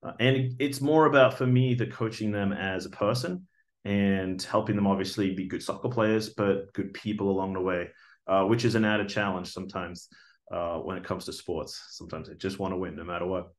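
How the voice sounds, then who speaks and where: Australian man with a low voice, earnest and halting. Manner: earnest, many "uh" fillers and restarts. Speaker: job applicant, teacher. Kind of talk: video job application talk. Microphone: webcam video recording.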